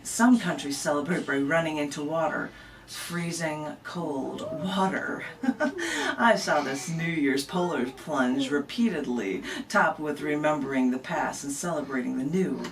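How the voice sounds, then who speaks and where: A woman's voice: low voice, animated, measured pace, recorded from a distance, poor audio. A woman, telling stories like a live spoken-word poet in an emphatic, conversational way. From American Midwest. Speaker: poet, interview guest. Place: radio station studio. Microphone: camera microphone at some distance.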